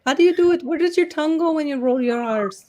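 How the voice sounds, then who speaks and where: A woman hosts a loose, joking conversation, her voice sitting a little high. She teases her guests and rides each joke along playfully. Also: fast, highs cut off, over a noisy crowd. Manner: playful, teasing banter. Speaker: host. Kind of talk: casual chat.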